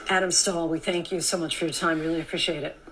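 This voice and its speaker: A woman's voice: low voice, steady tone, fast, a bit bright, slight background noise, rough recording. A woman conducting a broadcast news interview in a professional register.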